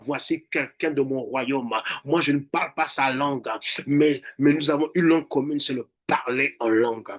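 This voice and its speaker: A man's voice: a little high, a bit bright. A man preaching and leading prayer as a pastor would, emphatic and repetitive in his exhortation and chanted prayer. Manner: emphatic, repeats words and phrases.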